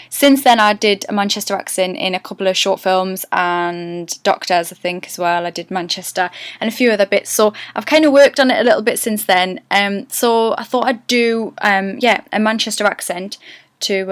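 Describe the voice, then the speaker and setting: A woman with a Geordie accent talks casually to camera, thinking aloud as she explains her approach to accents. Her voice is smooth and clear at a middling pitch. The sound is dry and close, with no room around it. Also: dry recording. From North East England. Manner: chatty and self-deprecating, thinks aloud. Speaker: actress giving an accent tutorial.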